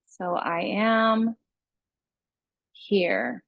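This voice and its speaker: Female meditation guide, very slow and soothing, mid-range pitch, close dry recording. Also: fairly even intonation, vocal fry, no room sound, narrow, tinny audio. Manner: unhurried, soothing instructional delivery with pauses. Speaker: yoga nidra meditation teacher.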